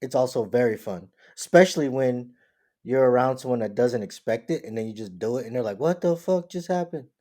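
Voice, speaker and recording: Animated male co-host telling stories. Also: medium pitch, a little fast. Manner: animated storyteller, laughs, playful swearing. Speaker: podcast co-host. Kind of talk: casual storytelling chat.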